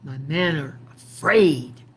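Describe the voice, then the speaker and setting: A woman's voice: low voice, expressive, takes it slowly, breathy edge, exaggerated voice. A woman voicing a blustering old one-eyed male robber chief in a told folktale. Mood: scornful. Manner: blustering, boastful.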